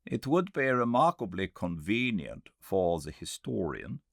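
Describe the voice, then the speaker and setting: A man voicing a sceptical, dismissive learned gentleman in a storybook reading, with a pompous, emphatic manner. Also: lowish voice, very animated, unhurried, vocal fry, dry recording, clean studio sound, voice pushed up, exaggerated voice. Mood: musing. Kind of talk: audiobook character reading.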